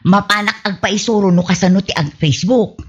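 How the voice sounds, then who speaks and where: A woman playing an excitable, bickering, scatterbrained wife in an Ilocano radio comedy, exclaiming often in an Ilocano Filipino accent. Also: medium pitch, fairly quick, husky, dull audio, lightly acted. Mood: gleeful. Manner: exclaiming, animated.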